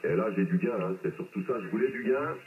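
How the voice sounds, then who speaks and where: A man's voice: fairly low pitch, animated, fast. A man from eastern France chatting casually and colloquially as an amateur radio operator, heard over shortwave reception played through speakers. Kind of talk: casual ham radio contact. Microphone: radio reception re-recorded from speakers on a phone. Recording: muffled shortwave radio, hissy, roomy playback.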